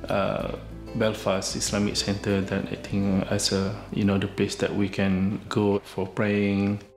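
Low, flat-voiced Malaysian man. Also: takes it slowly, reverberant, faint music behind. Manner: hesitant, many fillers. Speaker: interviewee, father. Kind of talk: documentary interview. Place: home kitchen.

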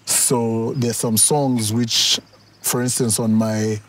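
A Ugandan man in his thirties, a musician sitting outdoors on a golf course and reflecting in a relaxed interview, with lots of restarts and chuckles. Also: medium pitch, takes it slowly, a little hiss or hum. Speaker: musician and guest of a music talk show.

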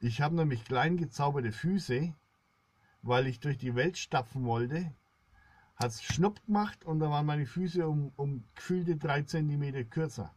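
Slow-talking middle-aged man, higher-pitched voice. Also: darkish tone, dry recording. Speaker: blogger, solo video host. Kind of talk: rambling spoken monologue to viewers.

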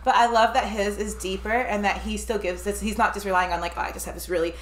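Co-host: young woman, chatty and warm, mid-range voice, roomy home echo. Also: medium pitch, fairly even intonation, fast, a little hiss or hum. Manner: warm, gushing, thoughtful. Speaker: reaction channel co-host. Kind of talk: casual music reaction chat. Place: home room with framed music posters. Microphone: over-ear headphones, fixed desk camera.

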